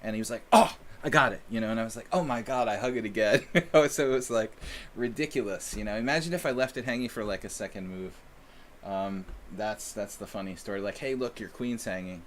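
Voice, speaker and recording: A man's voice: fairly low pitch, a little fast, a little hiss or hum. A man from the United States streaming chess and chatting casually with viewers in a rambling, self-deprecating way. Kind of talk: casual live-stream chat.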